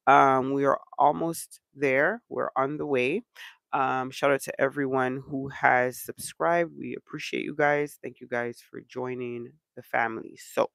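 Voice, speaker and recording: A man with a stuffy, congested voice hosts a casual livestream chat from home, rambling loosely and filling his pauses with ums. His voice has a gravelly, fry-heavy edge. The sound is dry and close to the mic. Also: mid-range voice, no echo. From Canada. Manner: relaxed rambling with many ums. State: congested from allergies. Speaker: channel host.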